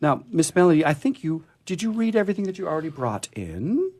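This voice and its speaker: A man's voice: on the high side, very animated, fast talker, a little mellow. Chatty, teasing male radio host. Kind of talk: casual bantering radio talk.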